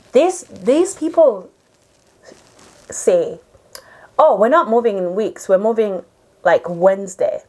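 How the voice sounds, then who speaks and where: An animated young woman from Uganda tells a personal story straight to the camera. English is not her first language, and she talks casually, full of "like" and restarts, with her voice sitting in a middle pitch. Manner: animated, expressive storyteller with many fillers. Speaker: vlogger.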